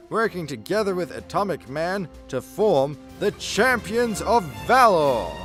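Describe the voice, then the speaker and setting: A man's voice: a little high, a bit bright. A man narrating a scripted superhero comedy radio play with a dramatic announcer's delivery.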